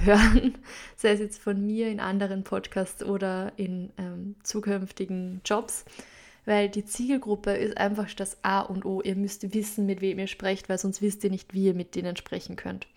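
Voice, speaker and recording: A young woman with an Upper Austrian accent talks through her podcast in a loose, chatty way. Her pitch stays fairly level, and she fills pauses with small hesitations and drops in English words. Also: darkish tone. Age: 20s. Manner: chatty, many fillers, mixes in English loanwords. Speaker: podcast host. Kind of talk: casual solo podcast talk.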